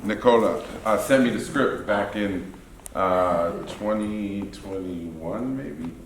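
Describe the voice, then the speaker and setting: A man's voice: on the low side, slow pace. A man speaking as producer at a theater talkback, chatty and rambling, aware he can get long-winded. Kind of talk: casual post-show talkback remarks. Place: theater event space, indoors. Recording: echoey room, faint background noise.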